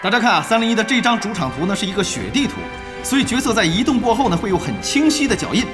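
A strikingly high male voice, an excitable esports match commentator. He calls the action play by play with emphatic, stretched exclamations, over loud music. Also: racing. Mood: explaining. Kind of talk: play-by-play commentary in audio drama.

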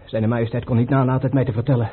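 An actor playing an emotional, loyal prince in a radio play, earnest and theatrical. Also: male voice, mid-range voice, flat delivery, very fast, natural voice. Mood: distressed. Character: emotional, loyal male prince, heir to the throne. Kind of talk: Dutch radio play acting. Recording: noisy, tinny old radio recording.

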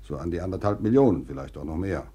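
A worldly older gentleman in an old radio play. His voice is low and husky, with lively swings of emphasis, declamatory, self-assured and fatherly. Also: fast talker. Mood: impressed, congratulating. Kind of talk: 1950s German radio drama acting.

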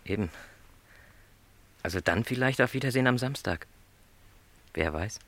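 A man's voice: on the low side, animated, normal speed, fairly bright tone. An actor playing a lonely middle-aged travelling salesman in a literary radio play, speaking with courteous, reflective resignation. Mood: tentative.

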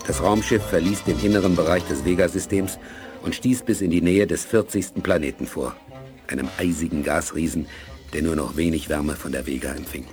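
A man's voice: sits low, fairly quick, music in the background, lightly acted. A man narrating a science-fiction radio play in a scripted storytelling style.

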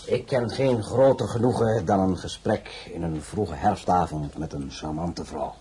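An actor playing a suave, flattering diplomat and minister in a radio drama, with a courtly manner. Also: male voice, sits low, unhurried, background noise. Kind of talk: Dutch radio drama acting.

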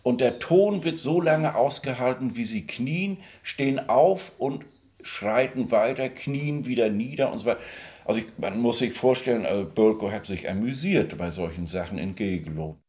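A man's voice: mid-range voice, normal speed, a little mellow. A man giving informal commentary, searching for his words as he goes and often checking for agreement. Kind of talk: informal spoken commentary on a composer.

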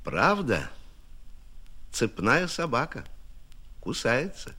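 An actor playing a sly, folksy storyteller who speaks in sayings in a radio play, wry and playful. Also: male voice, medium pitch, big pitch swings, slow pace, pushed and bright, exaggerated voice. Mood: sly, teasing. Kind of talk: theatrical radio play recitation.

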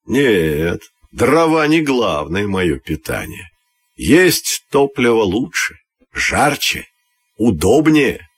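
A man's voice: on the low side, very animated, takes it slowly, breathy edge, dry recording, full character voice. A man voicing a proud, clever fire that argues back with self-assurance in a children's fairy tale. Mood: confident objection.

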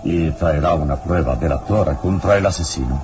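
A man playing a calm, wise, mysterious hero investigator in an old radio serial, speaking deliberately and with solemn suspense. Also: extremely low pitch. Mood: grave. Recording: noisy old radio drama recording.

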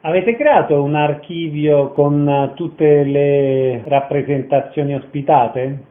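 Radio host, male, slightly high voice, interview presenting, band-limited and roomy. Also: takes it slowly. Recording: narrow-band, echoey radio sound.

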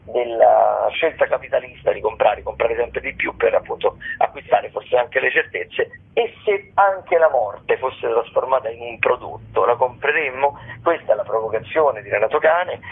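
A man hosting radio arts listings in a semi-improvised, halting way, often correcting himself. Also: medium pitch, breathy edge, narrow, tinny audio. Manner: hesitant, halting delivery with self-corrections. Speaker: radio host presenting theatre listings. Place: radio studio.

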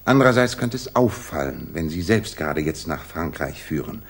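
A man's voice: a bass voice, expressive, moderate pace, creaky, full character voice. An actor in a German radio drama playing a shrewd, commanding male detective who dictates with precise authority.

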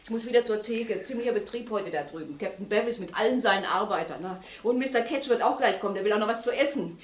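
An actress playing a practical, chatty inn barmaid in a German radio drama, matter-of-fact and busy. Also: female voice, mid-range voice, fast, close to an everyday voice. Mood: busy, brisk.

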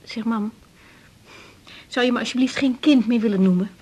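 A woman's voice: a little high, moderate pace, mellow, slightly rough. An actress in her twenties with a Netherlands Dutch accent, playing a busy, patient young doctor in a naturalistic radio drama. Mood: patient, slightly weary.

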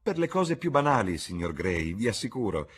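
Guy doing a witty flirty duchess from a novel, teasing, voice jumps around a lot, bit husky. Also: on the low side, big pitch swings, moderate pace, voice pushed up. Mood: playful complaint. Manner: arch, teasing society talk. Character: flirtatious, witty aristocratic duchess, playfully coquettish.

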